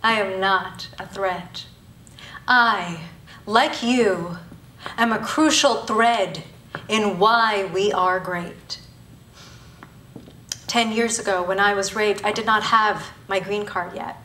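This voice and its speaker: A woman in her thirties, from Bangladesh and raised in Thailand, giving a live spoken-word performance with emphatic, rhetorical phrasing and pauses between listed words. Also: mid-range voice, steady tone, bright, forceful tone. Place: university auditorium before an audience. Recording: echoey hall, voice from a distance.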